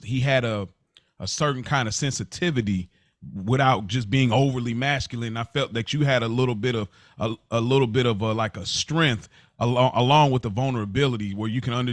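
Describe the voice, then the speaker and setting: A man with a mid-pitched voice chats as a podcast co-host. He is informal and slangy, quick to show appreciation, and recorded close and dry. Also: dry recording. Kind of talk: casual chat.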